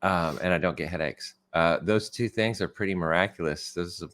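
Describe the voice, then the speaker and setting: A middle-aged man co-hosting a casual podcast, a bit hoarse and tired this morning, joking and laughing at himself. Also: low-pitched, moderate pace, fairly bright tone. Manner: joking, self-deprecating, lots of laughs. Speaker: podcast co-host.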